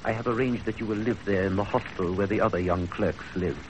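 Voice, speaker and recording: Guy doing strict formal older boss in an old radio play, measured, bit of hiss. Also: fairly low pitch, natural voice. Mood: stern, measured. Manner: measured, authoritative. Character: stern, formal older male employer. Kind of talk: 1930s radio drama acting. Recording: faintly noisy 1930s radio recording.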